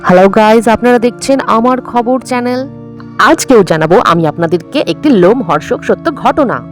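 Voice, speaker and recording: একজন নারী কার্টুন গল্পে রোমাঞ্চকর খবর পড়া এক নাটকীয় সংবাদ উপস্থাপিকার চরিত্র করছেন।. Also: female voice, fairly high pitch, lively intonation, moderate pace, faint music behind, close to an everyday voice. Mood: suspenseful. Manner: sensational, suspenseful. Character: dramatic news presenter with a chilling report. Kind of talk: news presenting in a cartoon story.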